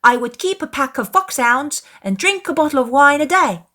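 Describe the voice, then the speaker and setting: A woman's voice: sits high, animated, normal speed, bright, forceful tone, voice pushed up. A woman voicing a brash, boastful young boy with an eager manner in a dramatized classic novel.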